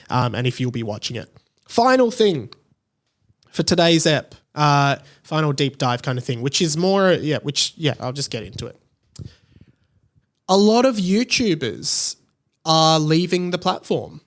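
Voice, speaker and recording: A man with a slightly high voice gives casual commentary as a solo podcast host. He talks conversationally, working through his thoughts aloud. He often repeats a word to stress his point. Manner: conversational, thinking aloud, emphatic repetition.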